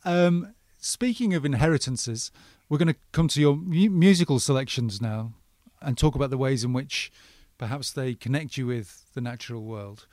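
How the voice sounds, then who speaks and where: A man interviewing a guest, asking gentle, measured questions. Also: medium pitch, dry recording, clean studio sound. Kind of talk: radio interview.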